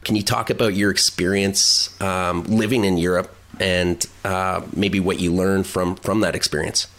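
A man's voice: sits low, level tone, unhurried, fairly bright tone. A man hosting a casual interview, putting questions in an easygoing, inquisitive, conversational way. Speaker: podcast host.

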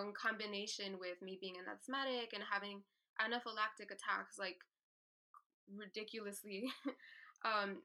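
A young woman speaking candidly and at length as a podcast guest, with many pauses and fillers. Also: mid-range voice, sharp tone. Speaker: artist guest. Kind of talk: podcast interview.

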